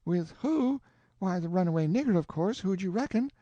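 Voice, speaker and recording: A man's voice: sits high, lively intonation, normal speed, dark tone, no echo, lo-fi sound, pitched above the performer's own voice. A man voicing a fussy, flustered elderly country aunt who scolds and exclaims in a raised voice. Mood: indignant.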